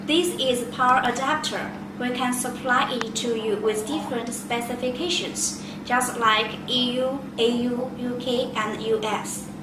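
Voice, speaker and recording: Female product presenter, slightly high pitch, simple non-native phrasing, recorded in a very echoey room. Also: steady tone, a little slow, hardly any pauses. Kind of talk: product demonstration, step-by-step explanation. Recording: echoey room, distant mic, loud noise.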